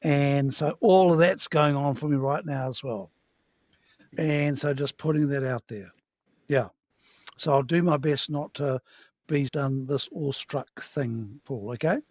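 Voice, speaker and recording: A man's voice: mid-range voice, unhurried, a little mellow, dry recording. A man hosting a podcast interview, chatty and self-deprecating. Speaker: podcast host. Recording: dull, dry, lo-fi sound.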